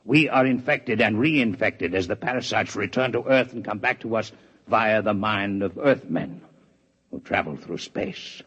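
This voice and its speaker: An actor playing a proud, formal alien male scientist in a 1950s radio drama, with measured, precise diction. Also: lowish voice, fairly bright tone, husky, heavily acted. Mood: grave. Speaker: alien doctor in a radio play. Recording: dull vintage radio recording.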